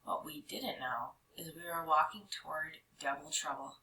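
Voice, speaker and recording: A woman reading a children's storybook aloud with expressive, dramatic narration, stretching some words and hushing others. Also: low voice, normal speed. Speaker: storybook reader. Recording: roomy echo.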